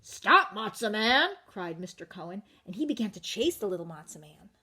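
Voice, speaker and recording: A woman reading a story to young children, expressive and addressing her listeners directly with questions. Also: on the low side, a little fast, slightly rough. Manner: expressive, asks listeners questions, varies volume. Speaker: children's story reader, teacher.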